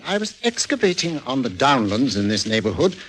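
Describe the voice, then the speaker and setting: An actor playing an eager, courteous museum curator, scholarly and hesitant, in 1940s radio drama style. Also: male voice, mid-range voice, very animated, brisk, a bit bright. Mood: scholarly enthusiasm. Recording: noisy old 1940s radio recording.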